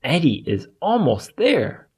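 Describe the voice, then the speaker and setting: A narrator reading a scripted picture story aloud for young children, deliberately and in simple phrases with pauses. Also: medium pitch, very animated, a little mellow, husky.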